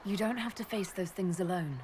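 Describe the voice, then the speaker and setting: A woman playing a composed, gentle elf warrior leader in a video game, speaking calmly and sincerely. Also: fairly low pitch, brisk, noisy background, lightly acted. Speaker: video game character voice actor. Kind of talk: dramatic game dialogue.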